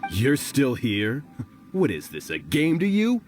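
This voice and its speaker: A man's voice: medium pitch, huge pitch swings, takes it slowly, darkish tone. A man voicing a mocking, menacing thug in a video game, drawling out his taunts. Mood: sneering. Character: sneering, mocking male thug bullying a trader. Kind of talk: animated video game voice acting. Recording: background music under the voice.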